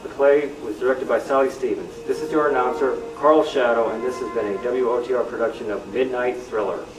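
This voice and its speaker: Male announcer, old-time radio sign-off, medium pitch, heard from a distance in a reverberant room. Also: fairly even intonation, a little fast, music in the background. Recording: echoey room, far from mic.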